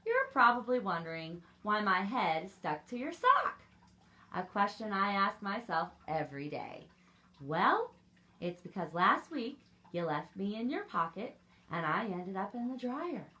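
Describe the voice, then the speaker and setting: A woman's voice: mid-range voice, big pitch swings, unhurried, bright, forceful tone. A woman voicing a plaintive, puzzled crayon in need of rescue, from a children's storybook. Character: plaintive turquoise crayon stuck to a smelly sock.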